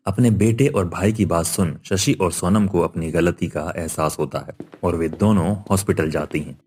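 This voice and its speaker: Deep-voiced male story narrator. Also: a little mellow, slightly rough. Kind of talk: scripted cartoon story narration.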